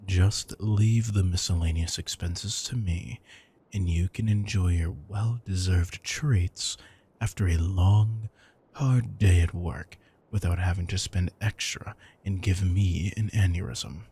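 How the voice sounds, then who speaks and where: A man playing a retired supervillain turned caring, thrifty house husband in an intimate audio roleplay, smug, dry and affectionate, with a husky, gravelly voice. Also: low-pitched, takes it slowly, dark tone, full character voice. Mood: smug, fussy.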